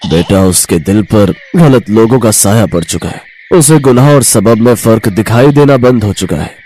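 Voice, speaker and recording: A man's voice: lowish voice, animated, moderate pace, dark tone, slight background noise, natural voice. A man playing a poor, middle-aged tailor father in a children's story, earnest and solemn in his devotion. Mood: sorrowful. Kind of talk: children's animated moral story acting.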